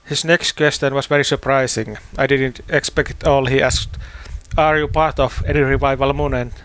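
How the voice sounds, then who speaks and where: A man from Finland with a Finnish accent, a native Finnish speaker, preaching a sermon in halting, deliberate phrases. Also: mid-range voice, steady tone, fairly bright tone, clear, resonant tone. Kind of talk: sermon with scripture reading and personal testimony.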